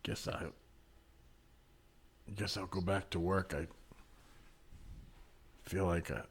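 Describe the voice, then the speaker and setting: A dazed, meek male office manager, played by a man in improvised comedy. His voice is very low and husky, and he mumbles haltingly as if half asleep. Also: little pitch movement, heavily acted.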